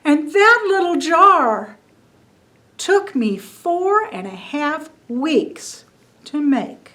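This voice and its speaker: A woman's voice: sits high, very animated, drawn-out words, bright, forceful tone, exaggerated voice. A woman voicing a tiny, chubby, fuzzy female honeybee who pleads eagerly in long breathless run-on sentences. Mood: pleading.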